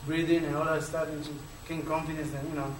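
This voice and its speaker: A man's voice: fairly high pitch, level tone, quick pace. A man from Chile with a Chilean Spanish accent tells his personal story as a panelist, speaking with a stutter and many fillers. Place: lecture hall. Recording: echoey hall from far off, faint noise.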